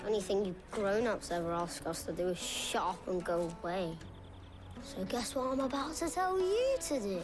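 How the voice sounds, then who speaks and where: A woman's voice: medium pitch, animated, moderate pace, music in the background, close to an everyday voice. A woman voicing a surly, mocking street child in a fantasy video game, with an insolent manner. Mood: surly, dismissive. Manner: insolent, drawling. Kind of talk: dramatic video game acting.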